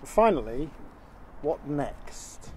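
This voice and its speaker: Middle-aged man, slightly high, teasing host. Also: sing-song, extremely slow, very noisy. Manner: friendly, teasing asides. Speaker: interviewer, channel co-host. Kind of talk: casual interview questions. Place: outdoors in a leafy garden.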